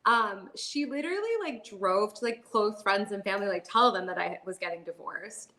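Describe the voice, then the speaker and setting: A woman's voice: mid-range voice, normal speed, bright, forceful tone. A woman in her thirties, a podcast guest, talking in a candid, chatty way, full of "like" and "you know" fillers and light laughter. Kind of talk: candid conversational storytelling.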